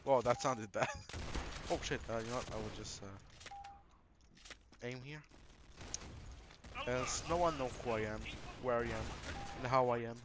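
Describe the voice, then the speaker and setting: A man's voice: medium pitch, unhurried, long pauses, background noise. A young man casually commenting on a shooter game as he plays, rambling, swearing and laughing at himself. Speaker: gameplay commentator.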